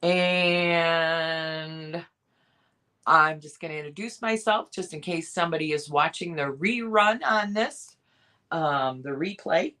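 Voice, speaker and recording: A hoarse woman with a cough, hosting a casual live sale and chatting in a rambling way with many pauses and restarts. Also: deep voice, pushed and bright. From Michigan, USA. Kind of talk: casual live-stream chat.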